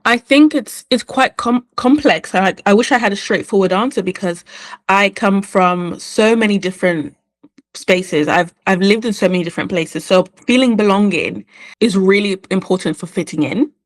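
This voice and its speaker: A woman who was born in the UK and grew up in Ireland and England, speaking as a cartoonist guest in a podcast interview. She talks in a thoughtful, unhurried way with frequent restarts. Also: medium pitch. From born in the UK, raised in Ireland and England. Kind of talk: reflective conversational interview.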